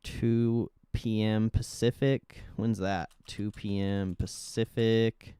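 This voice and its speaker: A man's voice: on the low side, level tone, slow, dark tone, clear, resonant tone, no room sound. A man hosting a livestream, giving casual market commentary in informal, slangy, sweary speech. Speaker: livestream host.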